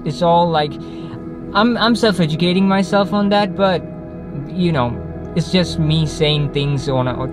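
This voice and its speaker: A young man from Kerala with a Malayali accent, talking unscripted to a camera in a parked car, restarting and correcting himself often. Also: on the high side. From Kerala, India. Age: young adult. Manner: rambling, frequent restarts and self-corrections. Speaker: vlogger. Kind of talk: unscripted casual talk to camera. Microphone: camera on the dashboard facing him. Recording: background music under the voice. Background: background music.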